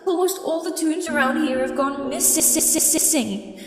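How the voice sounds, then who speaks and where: A woman's voice: sits high, measured pace, echoey room, big-room sound, faint music behind, pitched above the performer's own voice. A woman voicing an upset animatronic female cartoon duck who stutters and repeats broken syllables. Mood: distressed.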